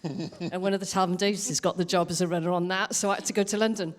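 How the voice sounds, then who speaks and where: Woman, film producer, panel talk, earnest, stumbling, fairly low voice. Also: fairly even intonation, quick pace. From Wales. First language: Welsh. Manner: earnest, self-deprecating, stumbles over words. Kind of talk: panel discussion.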